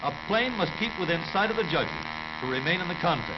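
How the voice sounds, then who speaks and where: Animated 1930s American newsreel man, high-pitched. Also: brisk, very noisy. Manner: jaunty, dramatic showman delivery. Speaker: newsreel narrator. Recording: dull, humming 1930s newsreel soundtrack.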